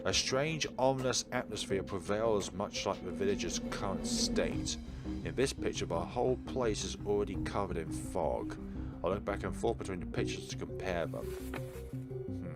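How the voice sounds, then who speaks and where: Male let's player, lowish voice, story reading mixed with thinking aloud, clear background music. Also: lively intonation, moderate pace. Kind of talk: reading visual novel narration and dialogue aloud with casual commentary. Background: game background music.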